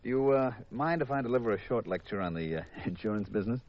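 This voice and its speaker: A man's voice: medium pitch, expressive, fast, close to an everyday voice. An actor playing a cynical, long-winded insurance man in a 1950s radio drama, with an exasperated lecturing manner. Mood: businesslike. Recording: muffled vintage 1950s radio recording.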